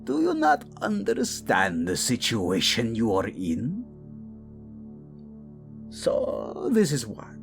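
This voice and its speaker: Audio drama villain: male actor as cruel, scornful older king and father, slow gravelly sneer, theatrical. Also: mid-range voice, wildly swooping pitch, soft background music, exaggerated voice. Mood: menacing disgust. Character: cruel, scornful, power-hungry royal father, older tyrant.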